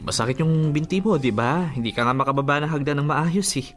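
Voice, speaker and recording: A man's voice: fairly high pitch, fairly quick, fairly bright tone, close to an everyday voice. A man with a Filipino accent playing a placating, affectionate young boyfriend who avoids conflict, in a radio drama with a coaxing manner. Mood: casual. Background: background music.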